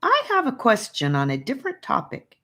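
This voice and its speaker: A woman's voice: fairly high pitch, wildly swooping pitch, fairly quick, pushed and bright, pitched above the performer's own voice, heavily acted. A woman voicing an eager, stubborn young boy who speaks bluntly and earnestly in an audiobook story. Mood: puzzled.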